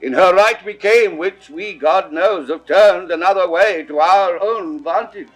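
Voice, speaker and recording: An actor playing a stately French king in a 1960s Shakespeare audio drama, with measured, drawn-out, declamatory phrasing. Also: sits high, soft background music, poor audio. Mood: troubled. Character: stately king of France, weighing a treaty.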